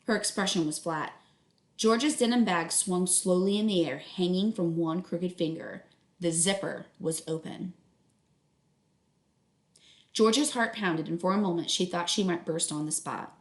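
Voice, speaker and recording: A woman reading a children's book aloud as a teacher, instructive, pausing to put questions to students. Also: on the low side. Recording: echoey room.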